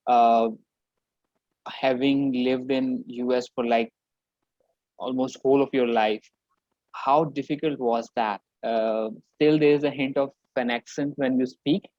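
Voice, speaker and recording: A man's voice: mid-range voice, fairly even intonation, a little slow, a little mellow, no echo. Male host, direct questioner, dry lo-fi recording. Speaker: interviewer. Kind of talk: interview questions. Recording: dry, dull lo-fi sound.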